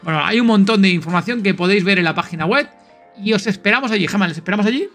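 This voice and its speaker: Man, Spain accent, high voice, forceful bright tone, informal podcast chat, enthusiastic, faint music behind. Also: animated, rapid-fire. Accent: Spanish from Spain. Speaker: podcast host. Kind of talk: casual podcast announcements and chat.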